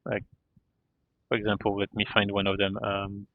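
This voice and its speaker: A man streaming a game, chatting casually and haltingly, repeating words as he explains things. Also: fairly low pitch, completely flat delivery, dry recording. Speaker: live streamer. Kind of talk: casual livestream chat while gaming. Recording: dull lo-fi mic, faint music behind. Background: faint game music.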